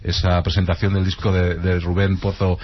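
Low-voiced man radio host. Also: moderate pace, dry recording. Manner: relaxed, friendly questioning. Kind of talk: radio interview. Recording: muffled radio sound.

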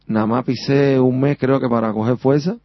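A man's voice: mid-range voice, steady tone, moderate pace, no echo, highs cut off. A man from Cuba with a Havana accent, a singer giving a chatty, rambling radio interview and often tagging on "sabes?". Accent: Havana Cuban Spanish. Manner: talkative, rambling, keeps asking "sabes?".

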